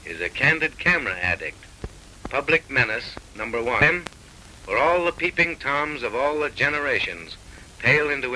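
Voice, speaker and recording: A man's voice: medium pitch, bright, forceful tone, a little hiss or hum, rough recording. A man narrating a 1930s comic film with mock-serious, arch, theatrical delivery on an old film soundtrack.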